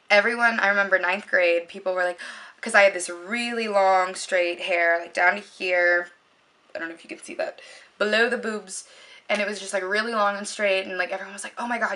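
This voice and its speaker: A young woman chatting casually to the camera in a home vlog, rambling with lots of likes and ums in a low voice. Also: pushed and bright. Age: young adult. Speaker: beauty vlogger.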